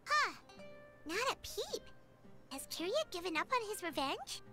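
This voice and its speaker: A woman voicing a tiny, chirpy, non-human female fairy companion who talks about herself by name, with a squeaky, childlike voice. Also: big pitch swings, measured pace, music in the background, full character voice. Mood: curious.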